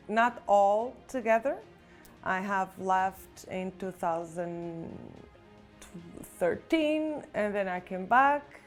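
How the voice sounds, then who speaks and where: Thirties woman, Portuguese accent, reflective interview delivery, mid pitch, faint music bed. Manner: reflective, frequent restarts and fillers. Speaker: nurse. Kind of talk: personal testimony interview.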